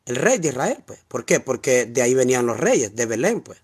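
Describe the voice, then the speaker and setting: A man with a Honduran Spanish accent walks listeners through scripture in a casual teaching tone. His voice sits at a middle pitch. He keeps repeating key words and asking rhetorical questions as he explains, sometimes drifting away from the mic. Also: very animated, fairly quick, a little mellow, husky. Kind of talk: informal Bible teaching, screen walkthrough.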